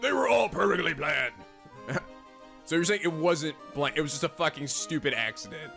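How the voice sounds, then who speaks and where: A man in his twenties doing let's play commentary, loud and exasperated, shouting and swearing. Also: on the high side, lively intonation, normal speed, gravelly, soft background music.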